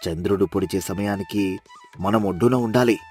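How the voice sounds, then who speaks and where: A man's voice: lowish voice, moderate pace, a little mellow, soft background music, lightly acted. A man playing a greedy, stubborn village husband in a moral story, talking in a bossy, dismissive, persuasive way.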